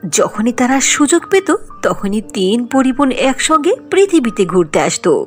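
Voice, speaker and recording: A woman narrating a scripted fairy tale. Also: on the high side.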